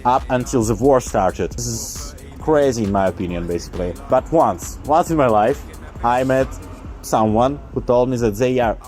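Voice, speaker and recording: A man's voice: medium pitch, a little mellow. A young man from eastern Ukraine, a native Russian speaker with an Eastern European accent, explaining things casually and informally to camera. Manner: informal, uses slang and swearing. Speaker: vlogger.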